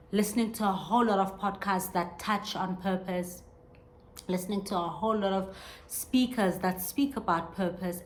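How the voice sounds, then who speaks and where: A woman's voice: on the low side, fairly bright tone, slight background noise, rough recording. A woman in her thirties hosting a podcast, talking earnestly and reflectively on her own, with many restarts as she searches for words. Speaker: podcast host. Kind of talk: reflective solo monologue.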